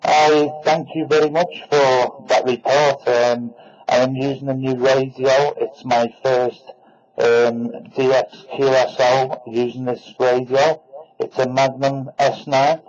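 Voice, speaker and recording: A man's voice: medium pitch, flat delivery, slow, a little mellow, lo-fi sound. A man speaking politely and a little hesitantly as a hobby radio operator making contact over the air.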